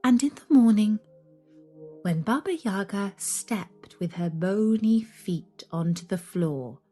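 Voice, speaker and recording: A woman narrating a scary children's story in a deliberate, dramatic, expressive way. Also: mid-range voice, faint music behind.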